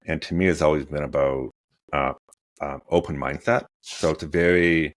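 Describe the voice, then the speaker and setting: A man's voice: extremely low pitch, steady tone, normal speed, dry recording. A man in his forties hosting a podcast interview, rambling with many fillers and restarts. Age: 40s. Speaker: podcast host. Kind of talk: interview conversation.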